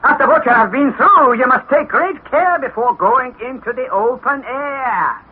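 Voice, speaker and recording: An actor playing an older professor uncle, fond and commanding, with emphatic paternal delivery in 1960s radio drama style. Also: male voice, very high voice, animated, moderate pace, breathy edge, exaggerated voice. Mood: assured. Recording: tinny, noisy vintage radio recording.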